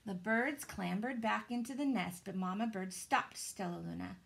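A woman, reading a children's story aloud in a dramatic, deliberate way. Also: medium pitch, creaky. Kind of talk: expressive children's storybook narration. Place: small indoor room with shelves.

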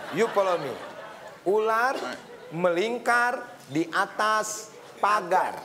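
Playful comedian host, high voice. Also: male voice, lively intonation, slow, slight background noise. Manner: playful, teaching phrases syllable by syllable. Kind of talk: comic talk show banter. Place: TV studio set with live audience. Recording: roomy studio with loud audience.

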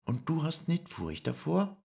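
A man voicing a warmhearted young woman, a traveling puppeteer's daughter who speaks in a lilting southern German dialect. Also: a little high, sing-song, a little slow, gravelly, voice pushed up, heavily acted. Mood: anxious. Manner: heartfelt, lilting dialect speech.

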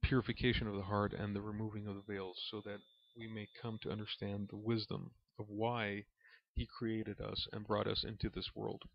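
A man reading aloud from a spiritual book as a lesson, in a measured, reverent manner. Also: on the low side, level tone, vocal fry. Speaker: reader and religious teacher. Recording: dull, lo-fi recording in an echoey room.

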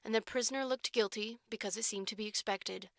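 A woman's voice: mid-range voice, a little fast, creaky, no echo, studio quality. A woman reading literary fiction as an audiobook narrator, in scripted storytelling style.

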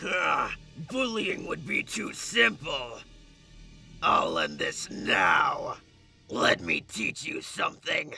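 A man voicing an enraged, menacing monster prince in a comic dub, with a gravelly, raspy, threatening delivery. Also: fairly high pitch, wildly swooping pitch, takes it slowly, pushed and bright, soft background music, full character voice. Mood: menacing rage.

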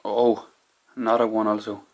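A man narrating quietly to camera as he explores an abandoned house, musing in hushed. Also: fairly low pitch, little pitch movement, normal speed, slight background noise. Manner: hushed, musing, non-native English phrasing. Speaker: urban explorer vlogger. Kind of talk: casual narration while exploring.

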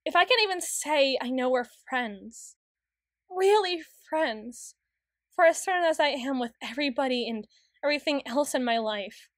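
A woman voicing a flustered, self-doubting, bookish young tsundere in a halting ASMR roleplay monologue. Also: high-pitched, animated, no echo, clean studio sound. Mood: pleading, flustered. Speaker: audio roleplay performer.

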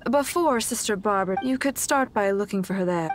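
A woman's voice: medium pitch, animated, fairly quick, a little hiss or hum, lightly acted. A woman voicing a composed, polite church nun in a video game. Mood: calm, businesslike. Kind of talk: video game character acting.

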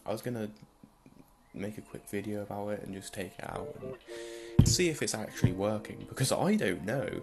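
Casual young guy vlogging at his desk, croaky just-woke-up voice, self-deprecating, keeps restarting. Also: lowish voice, normal speed, over loud music. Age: young adult. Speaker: film photography vlogger. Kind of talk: casual vlog. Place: small home office room. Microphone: desk mic in front.

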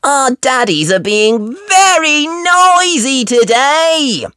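A woman voicing a polite, curious little girl pig from a children's storybook, with drawn-out delivery. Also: high voice, very animated, sharp tone, no room sound, clean studio sound, voice pushed up, exaggerated voice.

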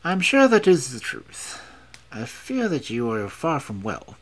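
Narrator doing a calm detective man, sure of himself, measured and precise, bit husky, mystery audiobook. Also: mid-range voice, full character voice, low-quality recording. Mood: grave. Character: calm, assured male detective.

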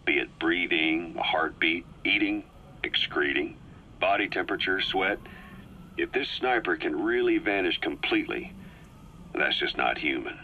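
An actor playing a shrewd, seasoned male military intelligence officer in a game, briefing in a measured, deliberate way with dramatic pauses. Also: sits low, expressive, highs cut off, slight background noise. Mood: grave, analytical. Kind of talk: scripted game audio briefing.

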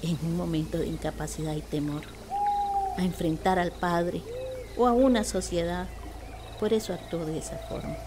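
A woman's voice: on the low side, fairly even intonation, moderate pace. A Costa Rican woman reading a scripted legend as narrator of a radio play. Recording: clear background noise, nature ambience.

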